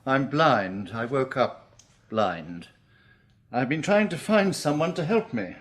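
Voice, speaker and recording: An actor playing a grim, despairing British surgeon who has just gone blind, terse and bleak in a radio drama. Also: male voice, mid-range voice, sing-song, slow. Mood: lost, shaken.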